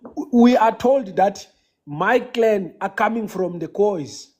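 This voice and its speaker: A South African man whose first language is Xhosa, calling in to a casual live chat and talking haltingly, with many restarts and fillers. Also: high voice, animated, a little mellow. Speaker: caller.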